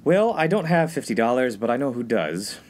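A man's voice: mid-range voice, very animated, moderate pace, a little mellow, close to an everyday voice. A young man playing an exasperated, put-upon college freshman with a dry manner in a comedy radio play. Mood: placating. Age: young adult. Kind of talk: 1950s-set comedy radio play acting.

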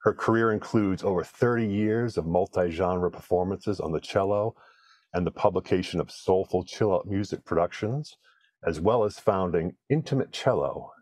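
A man's voice: sits low, darkish tone, no echo. A man in his fifties hosting an online summit interview, reading the intro and then chatting loosely, from a home office on a video call. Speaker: summit host. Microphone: video call webcam.

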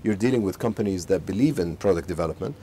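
A man's voice: deep voice, slight background noise. A man in his forties from Saudi Arabia, a company chief telling stories in a casual interview, full of fillers and restarts, recorded outdoors on a lapel mic. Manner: fast, animated storytelling full of fillers and restarts. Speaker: company CEO, interview guest. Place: outdoor garden beside a pool.

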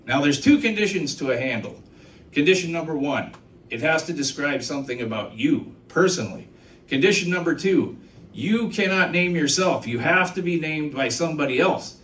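Animated stand-up comic trucker. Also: male voice, a little high, a little hiss or hum. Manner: animated storytelling with sound effects and crowd asides. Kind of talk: stand-up comedy set. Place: small comedy club stage. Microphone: handheld mic, filmed from the audience. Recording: echoey club from far off. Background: audience laughter.

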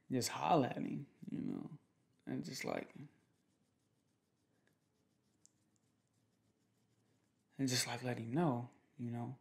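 A young man playing a protective, jealous boyfriend in an ASMR roleplay, talking in soft, slangy, repetitive, teasing phrases. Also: medium pitch, vocal fry, close to an everyday voice. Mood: cocky, teasing. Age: young adult. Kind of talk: ASMR boyfriend roleplay, improvised casual chat. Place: small room at home, indoors.

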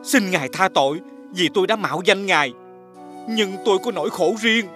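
An actor playing a humble, sorrowful older father in an animated fairy tale, pleading and deferential. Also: high voice, a little fast, faint music behind. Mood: remorseful.

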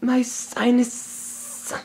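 Breathy, sly cartoon python. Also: male voice, extremely high pitch, completely flat delivery, a little mellow, exaggerated voice. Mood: menacing. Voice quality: breathy, sibilant. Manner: silky, drawn-out, mock-polite. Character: sly, menacing male python, hungry and hypnotic. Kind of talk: animated film dialogue.

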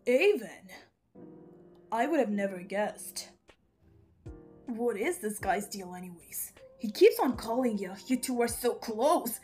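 A woman's voice: on the high side, expressive, takes it slowly, pauses often, a little mellow. A woman voicing a brash, cocky, jealous male boss angel in a comic dub, with a swaggering, crude, emphatic delivery. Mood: suspicious. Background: background music.

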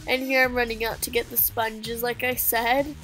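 A woman's voice: a little high, little pitch movement, normal speed, fairly bright tone, rough recording. A teenage girl with a stuffy, nasal voice chatting casually as she narrates her makeup routine. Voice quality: nasal, congested. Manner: chatty, with fillers like "um" and "like". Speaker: beauty vlogger. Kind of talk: casual get-ready-with-me voice-over. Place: home living room. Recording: music playing behind the voice.

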